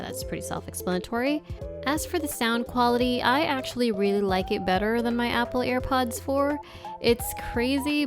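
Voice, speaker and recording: A woman giving a casual product review as the host of an unboxing video. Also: medium pitch. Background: background music.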